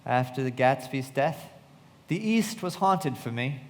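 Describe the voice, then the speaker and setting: A man's voice: medium pitch, expressive, measured pace, lightly acted. An actor playing an earnest, disillusioned young man who narrates a radio play. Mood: reflective. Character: earnest, disillusioned young man recalling his friend's death. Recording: reverberant hall.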